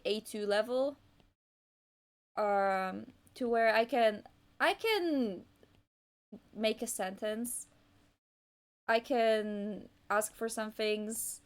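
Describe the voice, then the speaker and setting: A young woman whose first language is Polish, chatting casually on an art livestream while she draws, wandering and hesitant with lots of restarts and fillers. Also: fairly high pitch. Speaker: art streamer.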